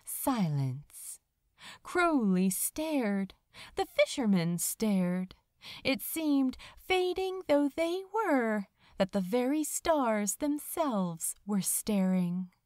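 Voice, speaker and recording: A woman reading fiction aloud as a dramatic narrator, expressive and measured, with deliberate pauses. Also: fairly high pitch, no room sound, studio quality. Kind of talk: dramatic scripted audiobook narration.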